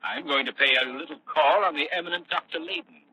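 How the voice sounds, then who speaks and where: An actor playing a resolute, mysterious crime fighter in a 1940s radio drama, with deliberate, ominous delivery. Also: male voice, fairly high pitch, bright, forceful tone, rough recording. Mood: grave, resolved. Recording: old radio recording with faint noise.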